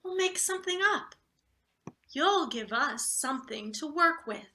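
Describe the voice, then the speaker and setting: An actress plays a ruthless office boss in a stage drama reading. Her voice is high-pitched and very bright, cutting and forceful. She is irritable and bullying, pressing hard with curt phrasing. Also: animated, a little slow, heavily acted. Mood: scheming, impatient. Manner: curt, pressing, stammers deferentially to superiors. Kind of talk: live theatre table read.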